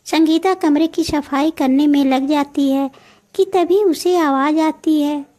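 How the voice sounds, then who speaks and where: Very high-voiced, mellow woman narrator. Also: fairly even intonation, slow. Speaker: story narrator. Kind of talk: scripted cartoon story narration.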